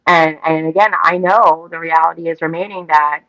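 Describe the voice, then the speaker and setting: Gravelly-edged New woman, slightly dull audio. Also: deep voice, fairly even intonation, moderate pace, fairly bright tone, no echo. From Staten Island, New York, United States. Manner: emphatic, repetitive, casually profane. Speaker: talk show co-host. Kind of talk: animated opinionated casual chat.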